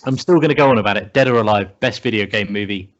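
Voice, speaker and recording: A man's voice: on the low side, fast, dull audio. A man chatting casually as a podcast co-host, insistently arguing his opinion. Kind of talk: casual group chat.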